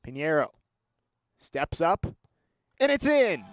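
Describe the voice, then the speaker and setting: A young man calling live sports play-by-play, moving between measured description and excited calls. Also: fairly high pitch, no echo. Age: young adult.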